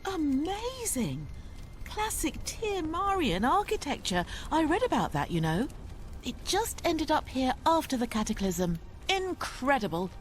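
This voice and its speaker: A woman's voice: high-pitched, very animated, measured pace, a little hiss or hum. A woman playing a bookish, shrewd adventurer in a fantasy video game. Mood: awed.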